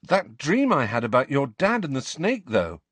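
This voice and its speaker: A man's voice: mid-range voice, very animated, normal speed, fairly bright tone, husky, no echo, voice pushed up. A man voicing a moody, prickly, defensive teenage boy in an audiobook, with a stiff, snappish manner. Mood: anxious.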